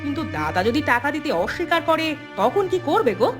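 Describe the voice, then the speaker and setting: A woman playing a sulky, sharp-tongued, shrewd village wife in a cartoon, talking in a scolding, questioning, colloquial way. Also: high voice, very animated, fast. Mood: scheming. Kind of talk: cartoon dialogue. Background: music.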